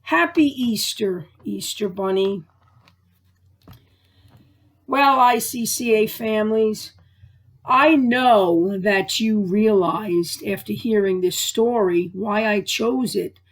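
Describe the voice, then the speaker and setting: A woman librarian reading aloud to children and families in a deliberate, expressive storytelling way. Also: medium pitch.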